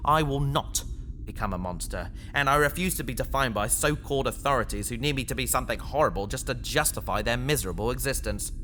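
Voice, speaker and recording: Determined, kindly spaceman for sci-fi audio drama: mid-pitched forward voice, sincere, slightly awkward conversational delivery. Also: expressive, fast, sharp tone, slight background noise. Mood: defiant, resolute. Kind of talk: science fiction audio drama acting.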